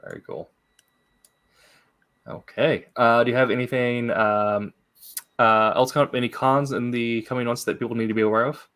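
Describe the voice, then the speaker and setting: A man hosting a podcast in a casual, halting way, with many pauses as he goes. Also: medium pitch, fairly even intonation, a bit bright, clear, resonant tone. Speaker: podcast host.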